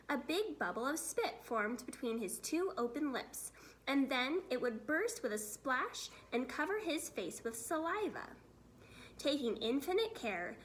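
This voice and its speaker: High-pitched, animated woman reading a storybook. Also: a little hiss or hum. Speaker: storybook reader, teacher. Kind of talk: expressive read-aloud narration of a children's story.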